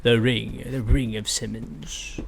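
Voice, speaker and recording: A woman streamer giving casual, excitable, playful reaction commentary during a game playthrough. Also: very deep voice, slow, vocal fry, background noise. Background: game music and dialogue.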